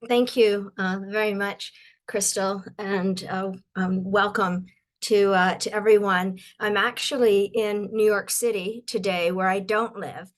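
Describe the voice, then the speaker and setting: An older woman from Ontario giving a webinar talk, her voice a little croaky, halting often with fillers and restarts. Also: mid-range voice, fairly even intonation. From Ontario, Canada. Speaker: guest speaker.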